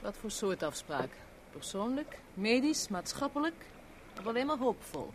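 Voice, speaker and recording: An actress in a 1970s radio play voicing a forthright stage performer with pointed, crisp speech. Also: female voice, fairly high pitch, sing-song, normal speed, a little hiss or hum. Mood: brisk, challenging. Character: brisk, forthright stage actress, cigar-smoking divorced woman.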